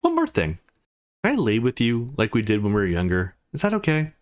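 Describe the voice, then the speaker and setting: A man voicing a clingy, emotional young woman with a quick temper in a visual novel read-through. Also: mid-range voice, wildly swooping pitch. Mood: shyly pleading. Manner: emotional, pleading, easily indignant.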